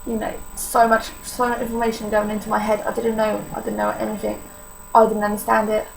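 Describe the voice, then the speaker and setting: A woman's voice: medium pitch, completely flat delivery, a little fast, vocal fry, noisy background. An eighteen-year-old woman from Poland with a Polish accent, talking candidly to camera in a personal vlog, with many fillers. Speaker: vlogger.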